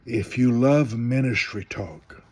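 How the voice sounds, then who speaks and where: A man's voice: medium pitch, slow pace, darkish tone. A man in his seventies, a minister, announcing an upcoming live broadcast in a rambling, conversational way. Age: 70s. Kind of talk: promo announcement for a live stream.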